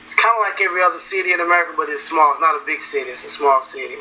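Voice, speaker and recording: A man, a musician talking in a casual interview, relaxed and repeating himself as he goes. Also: fairly high pitch, racing, slight background noise, rough recording. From South Carolina. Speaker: musician guest.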